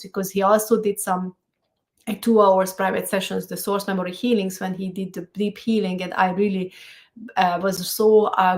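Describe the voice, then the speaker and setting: Hungarian-accented woman, earnest and chatty. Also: mid-range voice. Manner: earnest, loose grammar, frequent fillers. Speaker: guest healer. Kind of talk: conversational interview.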